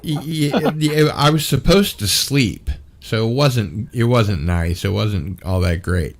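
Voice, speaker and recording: A tired man hosting a casual online panel chat, talking in a halting way with many fillers. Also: mid-range voice. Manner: halting, full of fillers and restarts. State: tired from little sleep. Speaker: host.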